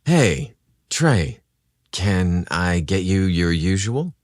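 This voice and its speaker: Man as a gentle, flirty male barista, low-voiced. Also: big pitch swings, extremely slow, no room sound, studio quality, exaggerated voice. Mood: polite, friendly. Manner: warm, soft-spoken.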